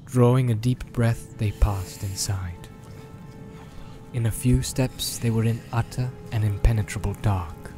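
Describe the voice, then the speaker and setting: A man narrating a fantasy audiobook in a measured, solemn, dramatic way, drawing out his phrases. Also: fairly low pitch, fairly even intonation. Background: music.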